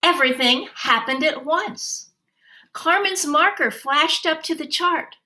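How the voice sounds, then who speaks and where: A woman reading a children's story aloud, narrating expressively and deliberately and acting out the dialogue. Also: high voice. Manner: animated, deliberate, acts out dialogue. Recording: roomy, distant microphone.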